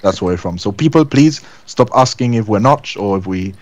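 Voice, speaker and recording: A man's voice: fairly low pitch, lively intonation, moderate pace, darkish tone, highs cut off. A man from Gibraltar with a Gibraltarian accent, joking with friends and explaining steps while playing a building game. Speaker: gaming video host. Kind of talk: casual gaming chat.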